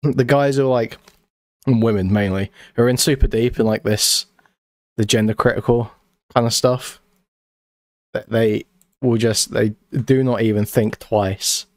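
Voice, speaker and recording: A man chats casually on a stream, reacting aloud in a loose, slangy way and tripping over his own restarts. His voice sits at an ordinary middle pitch. The sound is dry and close, with no room echo. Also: fairly even intonation, a little slow, long pauses. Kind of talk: casual chat.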